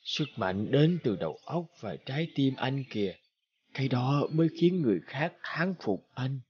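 A man's voice: mid-range voice, very animated, muffled recording, a little hiss or hum, lightly acted. Wise old talking horse, played by a man, earnest. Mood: gently reproving. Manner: measured, earnest, admonishing. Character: wise old grey magic horse, gently reproving. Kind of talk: animated fable voice acting.

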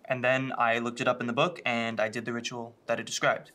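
A man's voice: medium pitch, flat delivery, brisk, sharp tone, natural voice. A young man playing an anxious, earnest friend in a comedic short film, urgent and pleading. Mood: grave, earnest.

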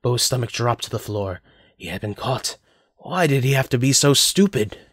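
A man's voice: mid-range voice, expressive, moderate pace, breathy edge, no room sound, clean studio sound. A man narrating a scripted story as the narrator of an audio drama.

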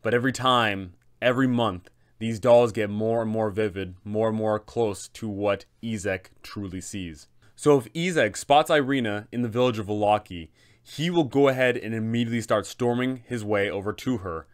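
A man in his thirties hosting a tabletop game guide, telling the story in an emphatic, deliberate way. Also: on the low side. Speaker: host and game master giving advice.

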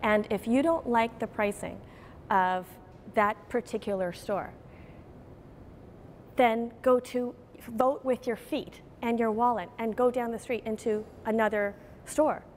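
Earnest high-voiced woman executive. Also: a little slow, slight background noise. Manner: earnest, animated, gestures while speaking. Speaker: grocery chain executive. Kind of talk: TV news interview. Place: grocery store interior.

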